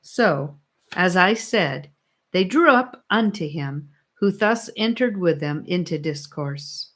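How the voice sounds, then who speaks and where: A woman narrating an old religious allegory as an audiobook, with measured, solemn storytelling. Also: fairly low pitch, animated, a bit bright. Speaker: audiobook reader.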